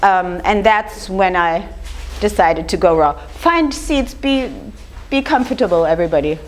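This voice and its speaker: An older woman with a slightly rough voice gives an informal lecture, talking conversationally and putting questions to her audience. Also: mid-range voice, animated, unhurried. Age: 50s or older. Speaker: speaker giving a lecture on raw food.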